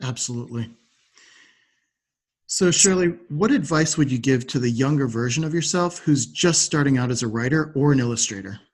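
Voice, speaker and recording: Supportive male podcast host, medium pitch. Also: moderate pace. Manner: supportive, affirming. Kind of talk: conversational interviewing.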